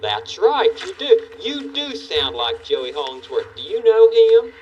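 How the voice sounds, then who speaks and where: An eager, boyish puppet neighbor on a children's show. His fairly high voice swings up and down with folksy enthusiasm. He sounds set back from the mic. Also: big pitch swings, unhurried, recorded from a distance, highs cut off, a little hiss or hum, heavily acted. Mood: curious.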